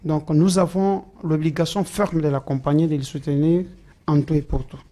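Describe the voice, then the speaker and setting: A man, answering interview questions about a politician in a halting way with many fillers and restarts. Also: fairly high pitch, steady tone, mellow. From Democratic Republic of the Congo.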